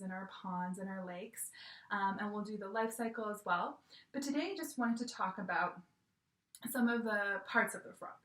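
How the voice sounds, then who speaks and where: A woman giving a gentle, patient online lesson to young children from her home, often checking in with "okay?". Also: mid-range voice, distant mic. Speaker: teacher. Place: room at home. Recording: echoey room, voice a bit off-mic.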